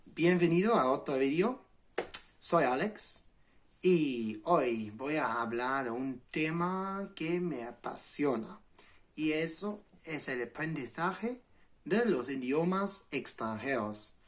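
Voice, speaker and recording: A man in his late twenties from England, a native English speaker with an English accent, giving a relaxed spoken lesson to camera and pausing often to restart with fillers. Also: a little high. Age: late 20s. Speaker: language channel host.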